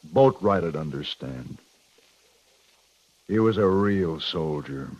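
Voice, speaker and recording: A man's voice: low-pitched, expressive, takes it slowly, a little mellow, exaggerated voice. A man acting a firm, terse cavalry captain in a 1950s radio western, with an American accent and controlled military delivery. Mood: restrained, formal. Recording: muffled noisy 1950s radio recording.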